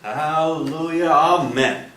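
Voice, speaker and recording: An actor playing a genial, fun-loving priest in a staged play reading. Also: male voice, on the high side, slow, bright, forceful tone. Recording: roomy distant stage recording, faint noise.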